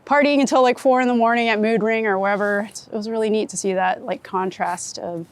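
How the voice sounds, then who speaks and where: A woman from Florida with an American accent, chatting casually as an interviewed photographer, talkative and quick to laugh. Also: fairly high pitch, fairly even intonation. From United States, Florida. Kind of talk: casual chat while out and about. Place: on location in a city, rooftop, car and street. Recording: outdoor city and vehicle noise.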